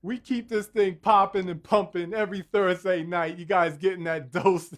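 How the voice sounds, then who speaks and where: A man hosting a live dating game show, energetic and laughing as he hypes up the contestants. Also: high-pitched, normal speed.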